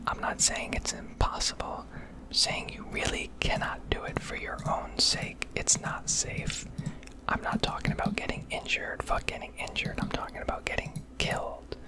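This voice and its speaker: An American woman rambling candidly and casually in a breathy ASMR whisper, swearing now and then, recorded on a close microphone. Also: moderate pace, poor audio. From United States. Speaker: ASMR video creator.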